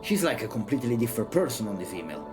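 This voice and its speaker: A man's voice: on the low side, very animated, very fast. A man reading a story aloud expressively, theatrical and irreverent, breaking off for side remarks. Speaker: streamer reading a visual novel aloud. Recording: music behind the voice. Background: music.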